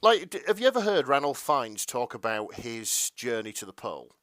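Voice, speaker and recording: A man chats casually as a podcast co-host. His voice is clean and smooth, recorded close and dry, and he speaks in a halting, rambling way, doubling back on his sentences. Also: mid-range voice, big pitch swings, a bit bright, no room sound. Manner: hesitant, halting, self-interrupting.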